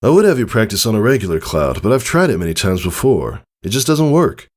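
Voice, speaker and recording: A man's voice: lowish voice, big pitch swings, brisk, pitched above the performer's own voice. A man voicing a confident, fatherly pegasus stallion in a storybook reading, folksy and instructive. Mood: earnest, instructive. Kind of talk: character dialogue in audiobook reading.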